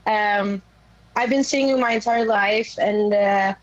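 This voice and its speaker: A young woman from Sweden with a naturally raspy voice, a rock singer chatting casually in an interview, rambling with many fillers. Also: medium pitch, fairly even intonation, takes it slowly, no room sound, dull audio. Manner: rambling, lots of "like" and "you know". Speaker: rock vocalist guest.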